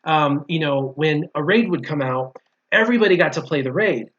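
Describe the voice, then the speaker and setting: A man with a fairly high voice chats informally and argues his view firmly. He repeats key points for emphasis, and the audio is somewhat dull and lo-fi. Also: brisk, fairly bright tone. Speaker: guest, former mobile game player. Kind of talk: casual opinionated gaming discussion.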